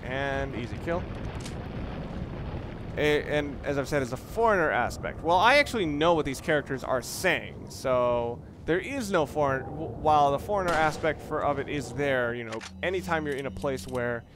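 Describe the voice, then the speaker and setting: A man's voice: fairly high pitch, animated, moderate pace. Rambling man commentating over noisy gameplay. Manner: rambling, repeats and rephrases himself. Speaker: Let's Play commentator. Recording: noisy, game sounds behind the voice. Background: video game ambience.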